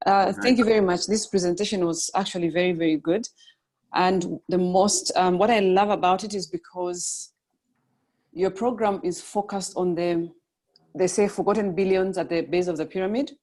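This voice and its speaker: Low-voiced woman from Tanzania. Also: fairly even intonation, normal speed, darkish tone. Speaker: chamber member commenting. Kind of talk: casual online comment. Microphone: video call.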